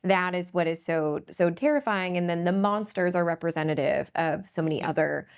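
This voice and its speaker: A woman hosting a casual panel discussion. Her voice sits a little low, and she speaks thoughtfully, often starting sentences over with repeated words. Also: moderate pace, clean tone. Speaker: host.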